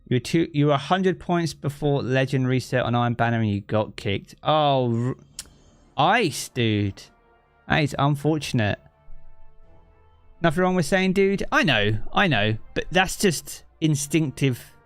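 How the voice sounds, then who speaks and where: A man's voice: medium pitch, animated, unhurried, clean tone, no echo, soft background music. A man from southeast England with a local accent, chatting casually with his live-stream audience from his living room and reading chat aloud. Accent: southeast English. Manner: chatty and easygoing, thinks aloud with many fillers. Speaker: game streamer. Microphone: streaming mic with effects.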